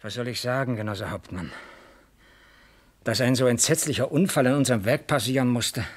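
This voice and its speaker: An actor playing an earnest East German factory manager in a German radio crime drama, formal, careful and a little defensive. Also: male voice, medium pitch, a little fast, lightly acted. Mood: shocked, defensive.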